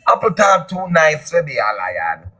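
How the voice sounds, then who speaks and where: A man with a Jamaican accent, boasting loudly and often shouting in a casual live chat. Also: fairly high pitch, huge pitch swings, normal speed, breathy edge, faint music behind.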